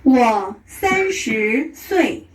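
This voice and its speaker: A woman slowly and carefully pronouncing example phrases for learners. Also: fairly high pitch, big pitch swings, reverberant, a little hiss or hum. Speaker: pronunciation example voice. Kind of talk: slow, careful phrase pronunciation.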